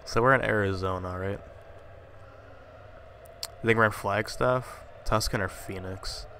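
A man with a lowish, slightly congested voice talks through a horror game in a casual, profane mutter. His voice sits over clear game sound behind him. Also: unhurried, pushed and bright, a little hiss or hum. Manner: profane, jumpy, muttering asides. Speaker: gamer playing a horror game. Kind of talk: casual commentary.

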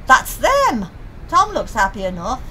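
An actress as a lively Northern English wife. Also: female voice, on the high side, a little slow, slight background noise, exaggerated voice, rough recording. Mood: chatty. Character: chatty, practical Northern working-class wife. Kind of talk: radio play acting.

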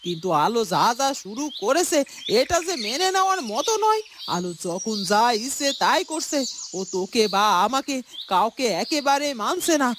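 A woman's voice: sits high, sing-song, normal speed, background noise. A woman playing a disapproving, meddling mother of a grown son in a cartoon melodrama, complaining insistently and repeating herself. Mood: complaining, coaxing. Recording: clear birdsong behind the voice.

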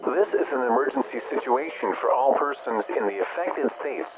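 A man's synthetic-style voice playing an impersonal weather service alert announcer, reading a formal bulletin in a measured monotone. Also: medium pitch, dull audio, noisy background, natural voice. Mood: official. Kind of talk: formal scripted emergency bulletin.

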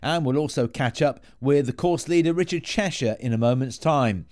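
A man's voice: medium pitch, normal speed, darkish tone. A man hosting a podcast, presenting a prepared introduction and putting questions to guests. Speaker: podcast host. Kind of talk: scripted podcast introduction and interviewing.

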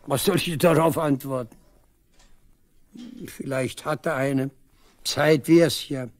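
Creaky-voiced, devoted old village father. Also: a little high, a little mellow, exaggerated voice. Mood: defensive, hopeful. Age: older. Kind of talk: 1970s East German radio drama acting.

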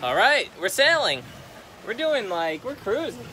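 A young man on a sailboat, talking in a relaxed, rambling way to a phone camera at arm's length. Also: very high-pitched, measured pace, over music. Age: young adult. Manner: laid-back, rambling, sprinkled with "like". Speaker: sailing vlogger. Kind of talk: casual vlog narration. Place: outdoors on a sailboat deck at sea. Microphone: phone selfie at arm's length. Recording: windy outdoor boat noise. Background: wind and boat noise.

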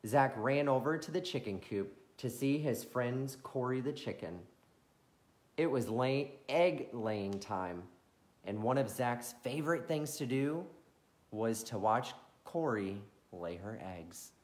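A man reads a farm picture book aloud, his pitch bouncing up and down as he voices each line. He takes his time, reading slowly and clearly, and adds playful asides as he goes. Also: animated. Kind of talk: bedtime story read-aloud. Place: farm, reading at home to camera.

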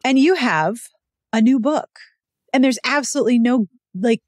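A woman's voice: on the high side, normal speed, dry recording, studio quality. A woman hosting a podcast, speaking in a conversational, playful way. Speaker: podcast host. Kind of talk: ad reads and casual interview chat.

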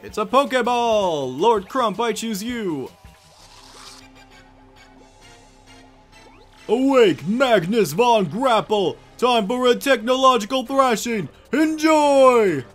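A man voicing a cocky, blustering video game villain in a loud, bombastic, taunting way. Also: extremely high pitch, animated, slow, few pauses, pitched above the performer's own voice, heavily acted. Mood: boastful. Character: blustering, cocky male cartoon villain henchman. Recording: game music behind.

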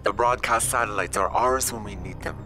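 An actor playing a cool, controlled conspirator in a video game, speaking formally. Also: male voice, fairly low pitch, a little fast, music in the background, lightly acted. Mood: cool, assured.

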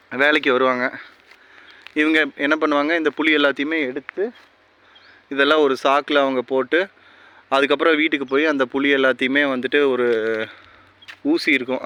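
A man's voice: medium pitch, sharp tone. A man with a Tamil Nadu accent, talking casually to viewers and explaining things step by step in a vlog. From rural Tamil Nadu, India. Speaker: vlogger. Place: outdoors by a tree-lined roadside. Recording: clear outdoor roadside and vehicle noise.

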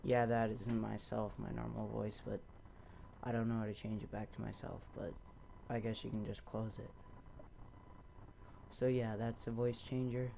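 A young man talks through a software tutorial in a casual, offhand way. His voice is dark and creaky, with very little melody. It is an amateur recording with faint background noise. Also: on the low side, level tone, moderate pace. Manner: offhand, unscripted. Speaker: tutorial host. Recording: noisy amateur home recording.

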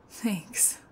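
A young woman acting an odd, sweet, sheepish thief in an ASMR roleplay, speaking softly and hesitantly with awkward pauses. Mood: wistful.

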